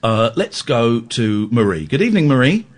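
A man's voice: medium pitch, big pitch swings, moderate pace. A man hosting a radio phone-in from a studio. He talks bluntly, teases his callers and interrupts them. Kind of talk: late-night phone-in chat.

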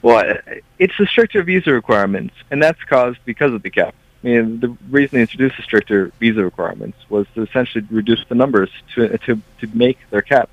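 A man's voice: mid-range voice, expressive, a little fast, no room sound. Earnest man from Brazil on a dull line. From Brazil, raised in the United States. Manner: earnest, emphatic. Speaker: student union officer. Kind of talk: radio interview over a line.

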